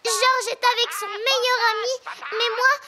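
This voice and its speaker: An actor voicing a bossy, chatty little girl pig in a children's cartoon dub, expressive and childlike. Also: very high voice, measured pace, heavy background noise. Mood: whiny.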